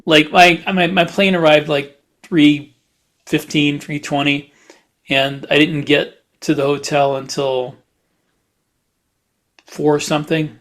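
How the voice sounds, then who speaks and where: A man chatting casually as a podcast host, in a halting, rambling way with repeated words. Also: fairly high pitch, fairly even intonation, fairly bright tone. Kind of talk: casual chat.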